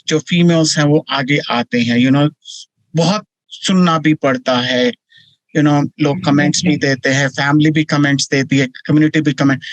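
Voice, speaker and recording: A man hosting an interview, asking questions in a rapid, loose manner, saying "you know" often. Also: fairly high pitch, no room sound, rough recording. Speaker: interview host.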